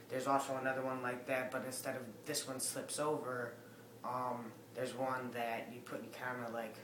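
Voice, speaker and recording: A young man with a raspy, mid-pitched voice talks loosely to his webcam, padding his thoughts with ums. He is recorded in an echoey living room, and a steady hiss sits behind him. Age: young adult. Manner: rambling, many ums, loose and casual. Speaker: vlogger. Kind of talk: casual update to camera. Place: living room with a Christmas tree. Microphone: webcam at desk distance.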